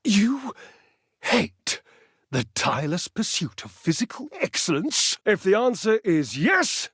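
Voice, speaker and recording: A male actor voicing a vain, lovesick washing machine in a video game, grandiose, flirtatious and petulant, with theatrical pauses. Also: sits high, very animated, a bit bright, slightly rough, dry recording, clean studio sound, heavily acted. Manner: grandiose, flirtatious, melodramatic delivery with dramatic pauses. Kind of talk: video game character voice acting.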